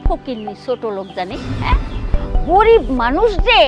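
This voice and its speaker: A woman's voice: high voice, very animated, normal speed, lo-fi sound, loud music behind the voice. A woman playing a middle-aged, spiteful and greedy mother-in-law in a TV drama, scolding scornfully with a rural Bangladeshi accent. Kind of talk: melodramatic Bangla TV drama acting.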